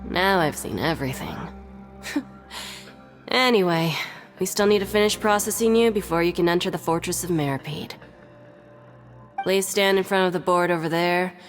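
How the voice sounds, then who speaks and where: Woman playing a jaded low-voiced prison clerk. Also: normal speed, long pauses, soft background music, lightly acted. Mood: dry, official. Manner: dry, sarcastic, officious. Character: jaded, sardonic female prison reception clerk. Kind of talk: video game voice acting.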